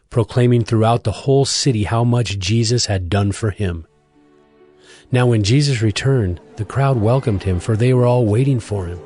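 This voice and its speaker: A man narrating a dramatized scripture reading in a steady, even storytelling voice. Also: lowish voice. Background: music.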